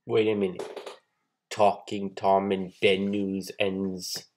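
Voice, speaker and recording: A young man casually hosting a show to camera in a halting, mumbled way from a bedroom. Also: low voice, little pitch movement, darkish tone, a little hiss or hum. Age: young adult. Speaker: host. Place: small bedroom, indoors.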